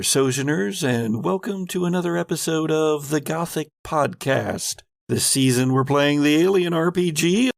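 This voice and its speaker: A man hosting as game master, narrating a dramatic recap of a tabletop horror game in measured, theatrical phrasing. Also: a little high, vocal fry, no echo, studio quality. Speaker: game master and podcast host.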